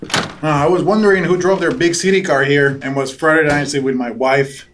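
Actor as high-voiced teasing older husband. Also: male voice, fairly even intonation, moderate pace, fairly bright tone, slight background noise, natural voice. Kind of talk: audio drama acting.

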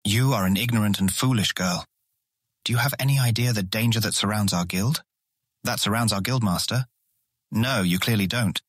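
A man's voice: fairly low pitch, moderate pace, no echo, studio quality, close to an everyday voice. An actor playing a stern, suspicious guild guardsman in an audiobook drama, curt and commanding, short on patience. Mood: angry warning.

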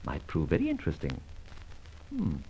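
An actor playing a witty, debonair gentleman adventurer in an old-time radio drama. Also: deep voice, huge pitch swings, normal speed, slight background noise. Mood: musing, wary. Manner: debonair, flippant, bantering. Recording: dull old radio recording.

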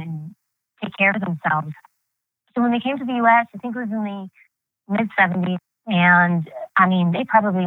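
A reflective woman, recounting memories in a candid, rambling way. Her voice sits on the low side and comes through a thin, phone-like line with no room sound. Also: dry recording. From New York, United States. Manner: candid, reflective, frequent fillers and restarts. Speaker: guest. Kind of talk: personal storytelling in an interview. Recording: tinny phone-like line, dry.

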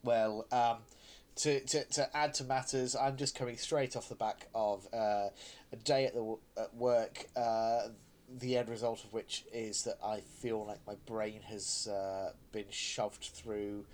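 A man's voice: on the low side, level tone, a little slow, a little mellow. A man hosting a podcast in casual conversation, often stumbling over restarts and fillers and laughing easily. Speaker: podcast co-host.

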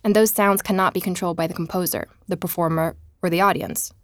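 An actress playing a podcast host, narrating in a calm, reflective way for an audio drama. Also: female voice, lowish voice, quick pace, no room sound, clean studio sound, close to an everyday voice. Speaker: podcast narrator and investigator Emma.

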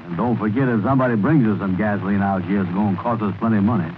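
A man's voice: fairly low pitch, very fast, lightly acted. An actor playing a grumbling, blustery man with folksy, ungrammatical dialect, in 1930s radio comedy style. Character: grumbling, blustery cab rider, wary of spending money. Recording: crackly 1930s radio broadcast, narrow band.

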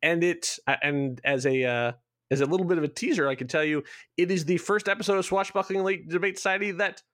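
Male podcast guest, show creator type: fairly high register, dry sound, eager and discursive, casual promotional chat. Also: fast, a bit bright, no room sound. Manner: enthusiastic, rambling explainer. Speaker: show creator being interviewed.

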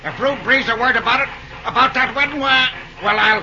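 A man's voice: extremely high pitch, a little fast, background noise. An actor playing a slangy, blustering small-town railroad man in a vintage radio drama, folksy and prone to stammering. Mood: eager, secretive. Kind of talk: 1930s-40s radio drama acting. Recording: muffled old radio with crowd murmur.

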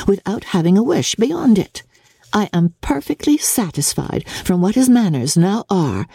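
A woman voicing a sweet, modest young gentlewoman who speaks formally and sincerely in a dramatic storybook reading. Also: mid-range voice, breathy edge, no echo, voice pushed up, exaggerated voice.

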